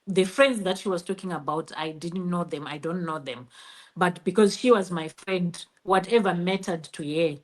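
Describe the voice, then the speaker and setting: A woman's voice: fairly low pitch, moderate pace. A middle-aged woman with a Zimbabwean accent, a native Shona speaker, telling her personal story as an interview guest.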